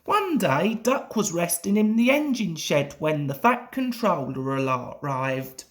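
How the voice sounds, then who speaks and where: Slow, animated woman storyteller. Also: on the low side. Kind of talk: scripted children's story narration.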